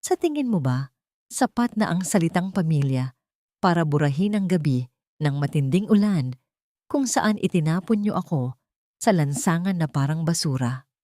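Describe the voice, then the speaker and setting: A woman's voice: deep voice, animated, normal speed, dark tone, no echo. A woman storyteller acts out a betrayed rich wife in a drama story. She is steely and bitter, speaking with firm resolve in a dry recording. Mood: bitter, determined. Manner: hard, clear, determined.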